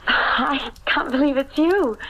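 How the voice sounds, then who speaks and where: Tender young sweetheart in old radio drama: high, slow, breathless and wistful. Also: female voice, sharp tone, highs cut off, heavily acted. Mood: stunned, tender.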